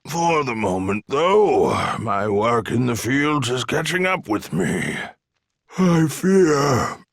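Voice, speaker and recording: An actor playing a gentle old amnesiac island hermit in a video game, with a husky, gravelly voice and a courteous, wistful manner. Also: male voice, mid-range voice, big pitch swings, takes it slowly, no echo, studio quality, heavily acted. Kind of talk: video game cutscene acting.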